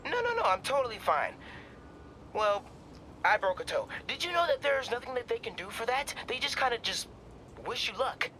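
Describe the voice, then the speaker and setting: A young male actor playing a sheepish, halting teenage rookie superhero in a video game. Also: very high-pitched, sing-song, pushed and bright, a little hiss or hum, heavily acted. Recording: music behind the voice.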